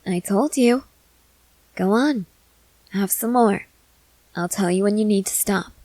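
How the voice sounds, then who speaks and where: A woman's voice: medium pitch, a little slow, a little mellow, dry recording, lightly acted, poor audio. A woman playing a confident, experienced vampire who coaxes and reassures in a matter-of-fact way, in an ASMR roleplay. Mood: coaxing, savoring. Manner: matter-of-fact, coaxing, assured.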